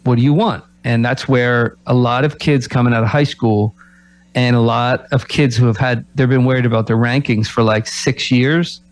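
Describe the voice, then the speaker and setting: A man who coaches college basketball talks on a sports radio show in a reflective way, often closing his points with rhetorical questions. His voice sits at a middle pitch. The studio sound is dry and close. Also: fairly even intonation, normal speed, dry recording, rough recording. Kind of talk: casual sports radio talk.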